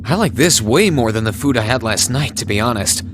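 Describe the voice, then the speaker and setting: A man's voice: mid-range voice, expressive, fairly quick, music in the background, close to an everyday voice. An actor playing an earnest, brooding young male academy student in a video game, serious and insistent. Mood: pleasantly surprised. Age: young adult.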